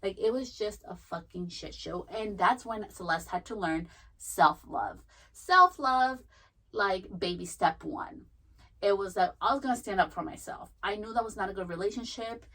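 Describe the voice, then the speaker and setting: A woman's voice: mid-range voice, fairly bright tone. Woman podcaster spilling her life story, curses a lot, lots of "like" and "you know", mic a bit far off. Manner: frank and profane, chatty with many fillers. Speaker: podcast host. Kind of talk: candid personal storytelling.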